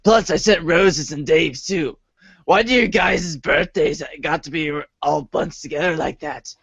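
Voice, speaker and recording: A man's voice: fairly high pitch, measured pace, gravelly, fairly bright tone, husky, no room sound, lo-fi sound, full character voice. A man playing an awkward, friendly teenage boy in an amateur reader's theater, with a hesitant, self-conscious way of talking.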